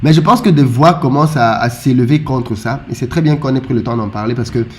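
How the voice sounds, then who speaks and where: A man from Africa talking in a casual discussion, animated and often stumbling over repeated words as he restarts. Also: medium pitch, a little fast. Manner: animated, with frequent stammered restarts.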